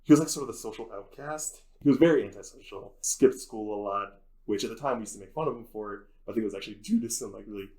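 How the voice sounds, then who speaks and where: A man's voice: on the low side, fast talker, few pauses, dark tone, poor audio. A man, a faculty advisor, casually telling a rambling personal story as an interview guest, acting out bits of conversation.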